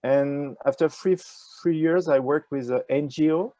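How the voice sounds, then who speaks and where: An older man with a French accent, answering interview questions in a halting way with many fillers, speaking over a video call with a wired earphone mic. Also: fairly high pitch, dark tone, no echo. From France. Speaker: ecology professor, interview guest. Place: indoors with a virtual nature backdrop.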